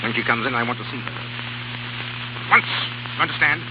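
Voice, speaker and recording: A man's voice: mid-range voice, fairly quick, lightly acted. A man playing a jealous, domineering, ailing older baron in a 1930s radio drama, speaking in clipped, imperious, sarcastic phrases. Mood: savage, jealous. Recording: noisy, hissing, dull 1930s radio recording.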